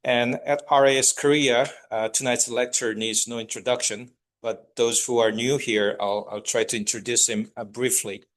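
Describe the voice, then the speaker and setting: A man's voice: mid-range voice, steady tone, normal speed, few pauses, fairly bright tone. A man hosting a lecture evening, introducing the guest speaker with many hesitations. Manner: hesitant, many fillers, reading out credentials.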